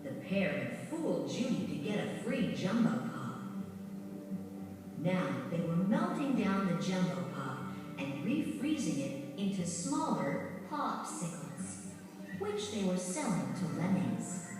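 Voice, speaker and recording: A woman reading scripted children's storybook narration in a measured, expressive way. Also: lowish voice. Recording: very echoey, distant, music behind. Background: music.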